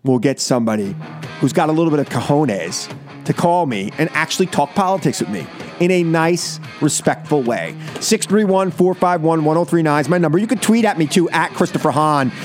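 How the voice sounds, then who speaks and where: A high-voiced American man holds forth as a political talk radio host. His pitch leaps around as he drives points home, repeating phrases with combative emphasis. The delivery is an opinionated call-in show monologue. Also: expressive, brisk, soft background music.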